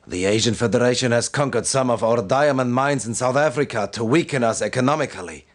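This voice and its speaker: A man's voice: mid-range voice, brisk, close to an everyday voice. Stern, solemn military commander, played by a man. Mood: firm, urgent. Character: stern, devout male military commander briefing his officers. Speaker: military briefing officer. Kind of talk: scripted video game cutscene briefing.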